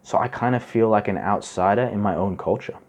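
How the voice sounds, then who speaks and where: A young man from Indonesia talks to camera in a loose, chatty way. His voice sits on the low side, and he keeps leaning on "like" and "right?" while he explains. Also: brisk, a little mellow, slight background noise. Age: young adult. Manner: chatty, many fillers like "like" and "right?". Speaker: self-improvement YouTuber. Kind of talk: casual advice talk to camera.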